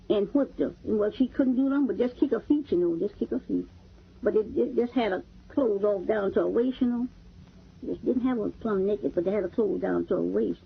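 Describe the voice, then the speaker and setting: Really old texas lady telling memories, super hoarse sounding, folksy, dull lo-fi archive tape. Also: mid-range voice. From Texas, United States. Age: 90s. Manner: folksy, repetitive phrasing. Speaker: interviewee recounting memories. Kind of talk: oral history interview. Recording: muffled old archival interview recording.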